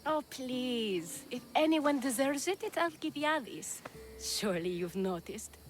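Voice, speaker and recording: A woman's voice: high-pitched, animated, takes it slowly, soft background music. An actress playing a vain, ambitious young bride-to-be in a video game, smug and dismissive as she talks. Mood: scornful, smug. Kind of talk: video game cutscene acting.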